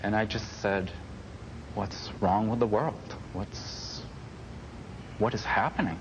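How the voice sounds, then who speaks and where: A man's voice: fairly low pitch, slow pace. A man, an air traffic controller, giving a personal recollection in an interview. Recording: clearly noisy interview audio.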